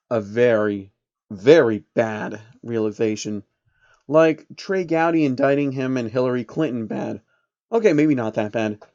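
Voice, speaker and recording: A man's voice: medium pitch, a little mellow, dry recording. A man narrates a comic story in a casual, irreverent way, dropping in sweary asides. The sound is close and dry, slightly dull and lo-fi. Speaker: narrator of online fan fiction.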